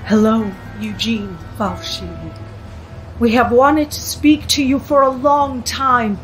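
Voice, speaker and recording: Husky, slow, theatrical séance girl. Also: fairly high pitch, steady tone, soft background music, rough recording. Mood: solemn, theatrical. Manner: theatrical, solemn. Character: theatrical teenage girl leading a séance, self-assured.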